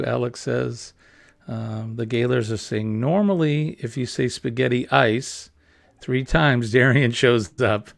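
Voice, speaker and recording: Creaky-voiced man hosting a casual chat. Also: medium pitch, no room sound, clean studio sound. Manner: chatty, reads viewer comments aloud with laughs. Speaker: host.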